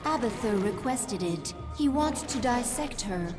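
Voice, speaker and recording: An actress voicing a loyal, businesslike military adviser in a video game, with a measured, deferential manner. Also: female voice, a little high, lively intonation. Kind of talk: dramatic video game acting. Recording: loud game music over voice.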